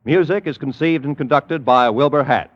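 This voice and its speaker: Formal male radio announcer, muffled vintage broadcast. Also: medium pitch. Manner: formal, measured. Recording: muffled old radio broadcast, music behind.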